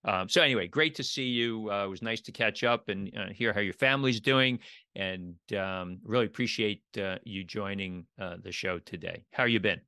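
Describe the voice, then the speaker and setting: Low-pitched man hosting a podcast, dry sound. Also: normal speed, bright, forceful tone, clear, resonant tone, no echo. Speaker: podcast host. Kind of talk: scripted podcast intro and interview.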